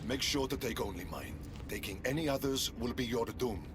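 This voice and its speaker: An actor playing a proud, honor-bound Spartan warrior in a video game, speaking earnestly and solemnly. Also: male voice, medium pitch, fairly quick, natural voice. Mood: firm. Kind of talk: dramatic video game acting.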